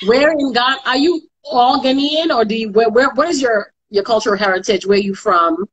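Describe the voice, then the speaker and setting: A woman hosts an interview over a video call from a small indoor room. Her voice sits a little high and sounds husky. She asks questions with curious, encouraging interest and agrees often with "yes" and "mm-hmm". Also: normal speed, a bit bright, poor audio. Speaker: interview host. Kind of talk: casual interview.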